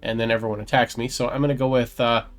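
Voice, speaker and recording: A man's voice: mid-range voice, little pitch movement, quick pace. Man casually narrating his game playthrough. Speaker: gaming video host. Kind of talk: casual game playthrough commentary, reading choices aloud. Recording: faint game music behind. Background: game music.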